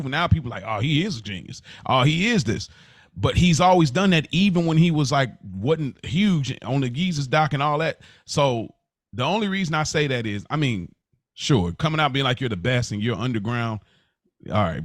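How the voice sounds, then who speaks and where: A man's voice: mid-range voice, no room sound, clean studio sound. A man co-hosting a podcast, talking in an informal, slangy, rambling way as he feels out his point. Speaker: podcast co-host. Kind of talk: casual podcast conversation.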